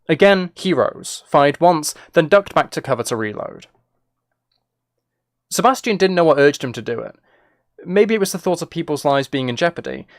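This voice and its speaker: A man's voice: fairly high pitch, animated, moderate pace, dry recording, studio quality. A man giving a dramatic scripted audiobook-style narration as an author reading a story. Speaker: author and streamer reading own book.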